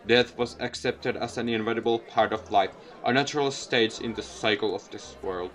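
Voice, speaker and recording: Visual novel scientist voiced by a man, hushed, measured, placid authority. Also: medium pitch, pitched below the performer's own voice, lightly acted. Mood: solemn. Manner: calm, measured, solemn. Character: placid, quietly powerful male scientist in lab coat.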